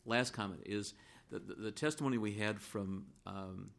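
A hoarse middle-aged man, a state senator who once chaired the finance committee, speaking deliberately in a legislative floor debate. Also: fairly low pitch, a bit bright. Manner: deliberate, supplementing a colleague's point.

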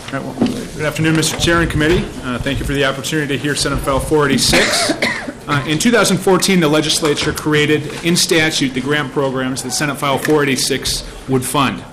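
A man with a fairly high voice lays out a bill for a committee in a formal way, with frequent pauses on "uh". Clear hiss and noise sit under his voice from the hearing-room mic. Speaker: state senator presenting a bill.

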